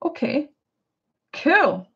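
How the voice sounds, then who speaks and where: A woman's voice: high voice, big pitch swings, drawn-out words, creaky, fairly bright tone, muffled recording. A middle-aged Scottish woman chatting casually through a live unboxing, with many hesitations and restarts. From Scotland. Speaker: art vlogger.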